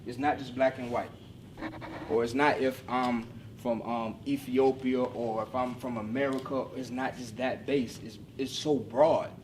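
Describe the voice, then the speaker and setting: A man's voice: medium pitch, steady tone, measured pace, a little mellow, distant mic, a little hiss or hum, low-quality recording. A man in his twenties giving an earnest talk on diversity to a university group, driving points home through repetition. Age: 20s. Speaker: speaker.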